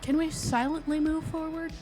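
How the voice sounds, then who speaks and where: A woman's voice: high-pitched, fairly even intonation, moderate pace, music in the background. A playful, joking woman chatting casually and roleplaying as a player in a tabletop game. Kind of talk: casual banter and in-character roleplay.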